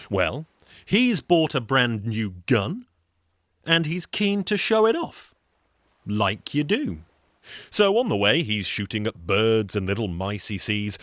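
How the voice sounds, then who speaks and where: Low-voiced, animated male storyteller, wry. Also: no echo. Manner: wry, sardonic, conversational asides. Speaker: storyteller, solo show performer. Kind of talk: comic theatrical folk-tale storytelling.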